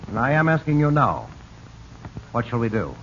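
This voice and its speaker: A man's voice: medium pitch, sing-song, normal speed, fairly bright tone. A man playing a proud, cornered company boss in a 1940s radio drama, agitated and indignant. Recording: muffled, noisy 1940s radio recording.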